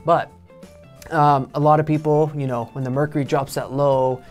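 A man in his thirties from Texas with a Texan accent, presenting an English lesson in a relaxed, chatty way from a studio. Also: a little high, moderate pace, soft background music. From Texas, United States. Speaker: English teacher. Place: studio in front of a backdrop.

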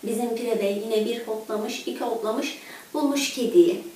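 A woman in her thirties telling a children's fairy tale expressively, with dramatic pauses and rhythmic repetition. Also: on the high side, darkish tone, slight background noise. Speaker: children's storyteller. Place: living room, indoors, seated on a sofa. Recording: echoey room, distant microphone.

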